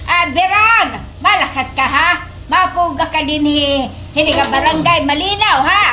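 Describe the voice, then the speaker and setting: An actress playing a chattering, suspicious village neighbour in a slapstick radio drama, exclamatory and gossipy. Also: female voice, high voice, unhurried, background noise.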